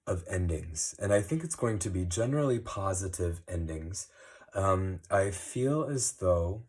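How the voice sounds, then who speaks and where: A man with a cold, giving a slow, casual card reading with many ums. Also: low voice, darkish tone. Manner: unhurried, many ums and uhs. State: recovering from a cold, sniffly. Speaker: tarot reader. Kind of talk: monthly astrology card reading.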